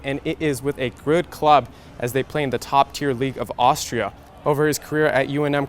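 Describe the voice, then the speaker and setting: A young American man in his twenties anchoring a scripted TV sportscast with game highlights. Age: 20s.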